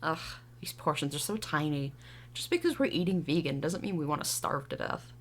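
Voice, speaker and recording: A woman's voice: deep voice, sing-song, a little fast, slight background noise, voice pushed down. A woman playing a casual, chatty modern city dweller in a drama.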